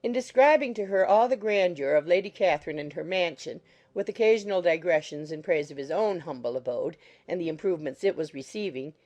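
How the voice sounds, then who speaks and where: A woman narrating a classic novel as a scripted audiobook, reading in a measured, literary manner. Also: lowish voice, expressive, a little mellow, dull audio, soft background music.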